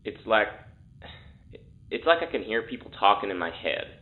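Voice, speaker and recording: An actor playing an uneasy male test subject in an audio drama, speaking haltingly and repeating words as he struggles to explain. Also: lowish voice, big pitch swings. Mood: uneasy, overwhelmed. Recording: thin, phone-like intercom sound.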